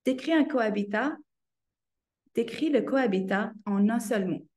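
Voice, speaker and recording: A woman's voice: on the high side, lively intonation, moderate pace, a little mellow. A woman hosting an online information session, upbeat and engaging the audience. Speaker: host and moderator. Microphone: video call.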